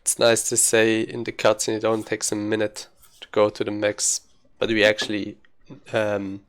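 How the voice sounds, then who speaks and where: A man's voice: on the low side, completely flat delivery, normal speed, fairly bright tone, smooth. A man giving casual live commentary as he streams a speedrun, informally thinking aloud while he plays.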